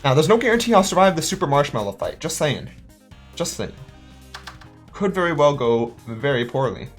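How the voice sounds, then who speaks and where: A man's voice: fairly high pitch, sing-song, normal speed, faint music behind. A man hosting a gaming channel, chatting casually and thinking aloud as he works out his moves and counts up his dice. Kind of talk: let's play commentary.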